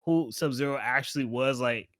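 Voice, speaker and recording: Male co-host, medium pitch, informal and slangy, casual group chat, dry recording. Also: normal speed, fairly bright tone, no room sound. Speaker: podcast co-host. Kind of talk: casual panel chat about games and films.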